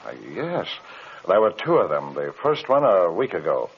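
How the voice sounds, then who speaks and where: A man playing an anxious, flustered airline executive in an old-time radio drama, with hesitant, halting businesslike speech and a husky voice. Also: sits low, very animated, full character voice. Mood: uneasy. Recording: muffled old radio recording.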